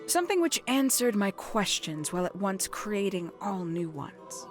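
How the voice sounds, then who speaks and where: An actress playing an enigmatic, brooding woman in a video game, telling her story in a measured, theatrical way with dramatic pauses. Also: mid-range voice, very animated, natural voice. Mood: solemn, wistful. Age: adult, older than nineteen. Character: enigmatic, brooding woman recounting a secret family past. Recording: background music under the dialogue.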